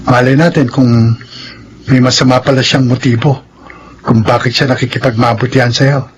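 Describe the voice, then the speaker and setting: A man acting an earnest, protective young suitor in a Filipino radio drama, speaking deliberately with pauses. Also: medium pitch, steady tone, a little hiss or hum, close to an everyday voice, poor audio. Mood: wary, doubtful. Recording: radio drama with background music.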